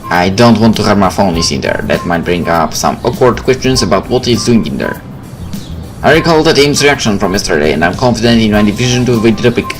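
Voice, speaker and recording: Animated man narrating a visual novel. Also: on the low side, quick pace, over music. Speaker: let's player. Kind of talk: reading visual novel narration and dialogue aloud, with casual asides.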